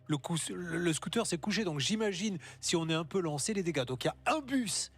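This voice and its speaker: A man hosting a consumer-help show, brisk and teasing as he questions a caller and his experts. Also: high voice, expressive, breathy edge. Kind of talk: lively studio phone-in talk.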